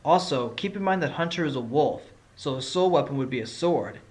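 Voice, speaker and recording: A man's voice: fairly high pitch, expressive, fairly quick, close to an everyday voice. A man playing a scrappy, determined fox defense attorney in a courtroom audio drama, making his points in a pointed, argumentative way. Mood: confident, pressing. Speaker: voice actor in fan audio drama. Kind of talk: courtroom drama acting with inner monologue. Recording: music clearly under the voice.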